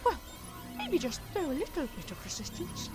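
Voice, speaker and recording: A woman playing a determined, affectionate, resourceful adventurer in an audio drama, expressive and commanding. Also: sits high, moderate pace, faint music behind. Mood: resolute. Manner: expressive, thinking aloud, commanding.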